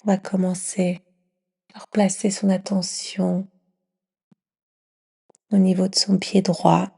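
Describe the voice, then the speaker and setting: A woman guides a yoga nidra session in a mellow, husky voice. She keeps her tone very even and soothing, often dropping into a breathy whisper as she teaches. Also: medium pitch, takes it slowly. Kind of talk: guided meditation.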